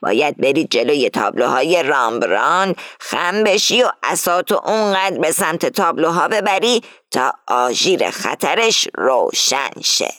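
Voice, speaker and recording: A woman reading as an older female gang leader who lays out a heist plan firmly and deliberately. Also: low-pitched, big pitch swings, a bit bright, dry recording, clean studio sound. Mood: scheming, instructing. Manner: firm, explaining slowly and deliberately.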